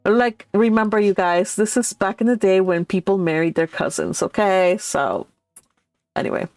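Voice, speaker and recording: A woman's voice: mid-range voice, lively intonation, moderate pace, a little mellow, dry recording, soft background music. A woman hosting a read-along, reading aloud and chatting informally with her listeners. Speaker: read-along host reading a classic novel and commenting. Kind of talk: storybook narration and casual livestream chat.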